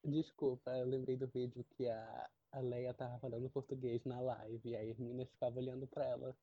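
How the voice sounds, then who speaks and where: A woman co-hosting a casual fan podcast, chatty and quick to laugh as she explains things. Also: rumbling low, little pitch movement, breathy edge, no echo. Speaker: podcast co-host. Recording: dry muffled lo-fi audio.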